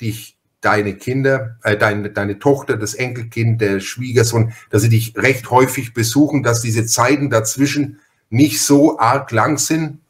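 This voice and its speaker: Male podcast host, mid-range pitch, talkative and personal conversational interviewer. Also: unhurried. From Franconia, Germany.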